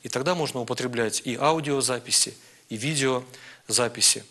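A man speaking as a priest on a live programme, answering questions in a measured, earnest, preaching way. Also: medium pitch, sharp tone. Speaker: priest guest answering viewers' questions on air.

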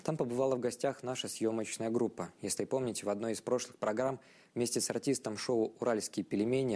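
A man with a lowish voice presents a religious television programme. He delivers scripted educational narration. His phrasing is formal, bookish and measured. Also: mellow.

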